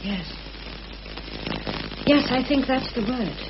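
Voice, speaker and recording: An actress playing a gentle, weary, long-suffering wife in a mid-century radio drama. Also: female voice, high-pitched, animated, slow. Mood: weary, subdued. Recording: muffled, noisy old radio recording.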